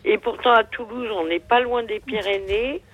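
A woman's voice: on the high side, normal speed, a bit bright, lo-fi sound, slight background noise. A woman in her sixties calling in to a radio show, rambling and hesitant with many fillers, heard over the phone. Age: 60s. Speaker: radio caller. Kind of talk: phone-in chat.

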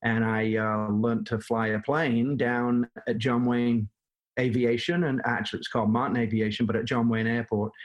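A man's voice: mid-range voice, little pitch movement, moderate pace, muffled recording. An older man from England with a Manchester accent, a guest telling his story at length in a casual interview, with many fillers and restarts. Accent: Manchester English. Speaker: guest, former plumbing business owner.

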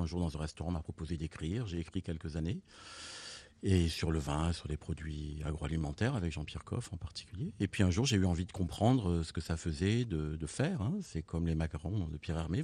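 A man's voice: low-pitched, warm tone, slightly rough. A man from Roussillon, a winemaker, telling his story in a reflective way as a guest on a radio talk show. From Roussillon, France.

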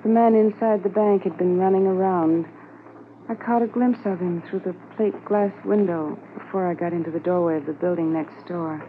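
An actress playing a stunned, quietly grieving policeman's wife, speaking plainly in a 1950s radio drama. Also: fairly low pitch, steady tone, normal speed, lightly acted. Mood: numb recollection. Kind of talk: 1950s radio drama acting, first-person narration. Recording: muffled, slightly noisy 1950s radio transcription.

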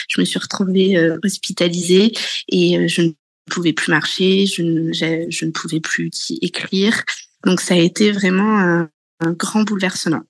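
A woman in her thirties telling her own story slowly, with many fillers and hesitations. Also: on the low side, dry recording. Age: 30s. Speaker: interview guest. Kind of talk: personal testimony.

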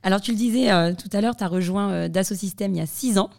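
A woman's voice: medium pitch, quick pace, vocal fry, darkish tone. A woman hosting a podcast, asking conversational questions in an informal, familiar way. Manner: informal, addresses guest familiarly. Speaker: podcast interviewer, host.

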